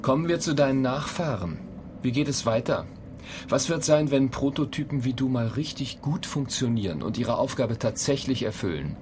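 An impatient, sardonic man, played by an actor in a radio drama, quizzing a machine. His voice sits in a middle range, with curt, needling phrasing. There is clear ambient noise behind him. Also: mid-range voice, animated, normal speed, natural voice. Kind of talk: radio play dialogue.

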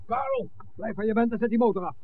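High-voiced stern policeman, old radio. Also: wildly swooping pitch, fast talker, heavily acted. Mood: firm, stern. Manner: firm, official, commanding. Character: stern, resolute village policeman making an arrest. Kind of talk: 1960s radio drama acting. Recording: tinny, noisy old radio recording.

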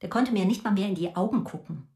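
A woman's voice: mid-range voice, fast, dark tone, lightly acted. An actress playing an angry, proud middle-aged mother who rants with sarcasm in a radio drama. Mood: bitter.

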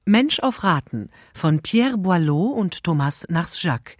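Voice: mid-range voice, big pitch swings, takes it slowly, no room sound, clean studio sound. An announcer reading scripted closing credits for a radio drama. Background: guitar music.

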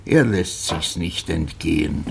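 Deep male voice, gravelly, wry gloomy drinker, unhurried, old radio play. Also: lively intonation, heavily acted. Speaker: radio drama actor. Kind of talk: German radio play acting. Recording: faintly noisy old radio recording.

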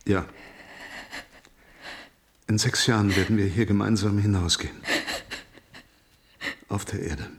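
An actor playing a weary, troubled keeper in a radio drama, speaking soberly and tersely. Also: male voice, on the low side, steady tone, a little slow, long pauses. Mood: sober, gentle. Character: weary, troubled male keeper of a sleep facility. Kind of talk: German radio drama acting. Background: music.

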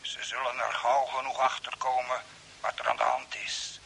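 A secretive, cryptic stranger calls over space radio in an old radio drama. The voice is extremely sharp and bright through a radio filter, measured and guarded, at a medium pitch. Faint hiss sits behind it. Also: male voice, flat delivery, gravelly, low-quality recording. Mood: secretive, warning. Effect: radio transmission filter.

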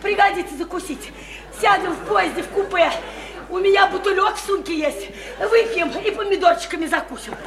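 Frantic small-town woman in a radio play, super high voice, gushy, repeats herself, roomy echo. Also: brisk, hardly any pauses, a crowd in the background. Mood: giddy excited. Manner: gushing, repetitive, colloquial, hysterically elated. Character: overwrought, pleading provincial woman desperate to escape her town. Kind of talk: theatrical Russian radio play acting. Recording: roomy, echoing stage-like radio play recording.

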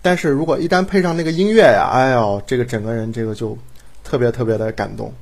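A man's voice: medium pitch, fast talker, creaky, a little mellow. A man in casual chat, praising at length in an effusive, wordy way and repeating himself for emphasis.

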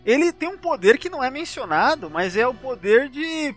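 A man's voice: extremely high pitch, sing-song, normal speed, fairly bright tone, soft background music. A Brazilian man hosting a casual podcast chat, slangy and exclamatory in his way of talking. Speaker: podcast host.

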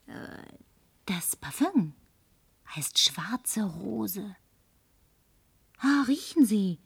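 An actress in a German radio play playing a flirtatious, tipsy, insistent career woman with coquettish, languid phrasing and breathy murmurs. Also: mid-range voice, very animated, drawn-out words, no room sound, heavily acted.

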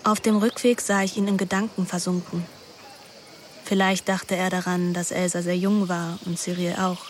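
A woman's voice: on the low side, level tone, moderate pace, slight background noise, lightly acted. An actress playing a wilful, moody teenage girl who narrates her summer intimately in a radio drama. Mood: reflective. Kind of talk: first-person radio drama narration and dialogue. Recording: music behind the voice.

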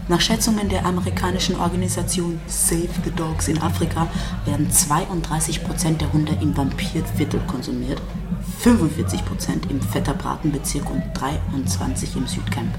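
Female storyteller, low voice, dry literary narration, radio drama, music bed. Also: fairly even intonation, normal speed. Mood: dry, storytelling. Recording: music under the voice.

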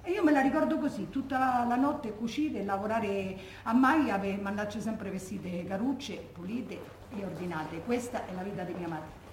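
An actress plays a Roman woman, the grieving and indignant daughter of a war widow, giving stage testimony. She speaks colloquially and emphatically in a Roman accent, at a medium pitch. The room echoes around her, with faint noise behind. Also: moderate pace, close to an everyday voice. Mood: tender, sorrowful recollection. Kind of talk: theatrical testimony monologue, colloquial storytelling.

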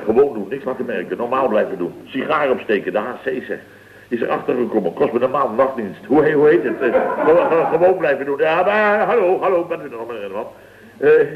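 An actor playing a boastful, easily flustered building contractor boss in a radio comedy, rambling and full of verbal tags. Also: male voice, mid-range voice, close to an everyday voice. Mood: flustered, nervous. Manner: rambling, peppered with "hè" and "zeg". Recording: tinny, band-limited vintage radio recording.

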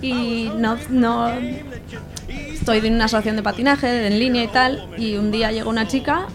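Spanish woman, highish voice, colloquial radio chat, music playing behind her. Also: fairly even intonation, moderate pace. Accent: Spain. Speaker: roller derby player, interview guest. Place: community radio studio.

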